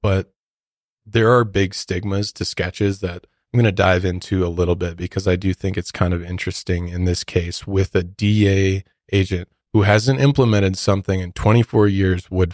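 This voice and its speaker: Low-voiced American man telling true crime. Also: flat delivery, moderate pace, darkish tone, no room sound, studio quality. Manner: conversational, informal asides. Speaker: podcast host. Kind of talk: true crime storytelling with casual commentary.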